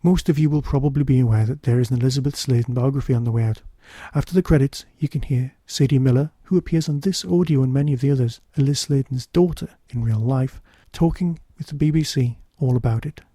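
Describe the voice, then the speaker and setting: Dark, mellow man reviewing on a podcast. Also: medium pitch, fast talker, hardly any pauses, dry recording. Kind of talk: informal spoken review.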